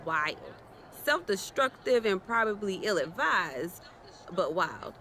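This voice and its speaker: A woman's voice: mid-range voice, very animated, takes it slowly, sharp tone, a little hiss or hum, heavily acted. A woman playing a cocky but good-natured champion wrestler in conversational video game dialogue, with light ambience behind. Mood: friendly, eager.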